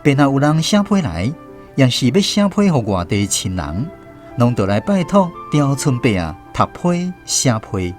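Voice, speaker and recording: A man's voice: medium pitch, sing-song, a little slow, faint music behind. A man with a Taiwanese accent narrating a scripted radio drama. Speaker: narrator and host.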